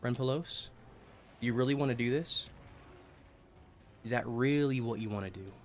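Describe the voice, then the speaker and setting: A man streaming a video game, chatting casually and jokingly while playing, his throat getting a little rough as he's coming down with a cold. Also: mid-range voice, normal speed. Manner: joking, rambling with restarts. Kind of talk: casual gaming livestream chat.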